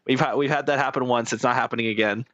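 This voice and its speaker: A man's voice: medium pitch, steady tone, quick pace, fairly bright tone, no room sound, dull audio. A man giving casual, joking, playful gameplay commentary with swearing and mockery, like a streamer. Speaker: game streamer.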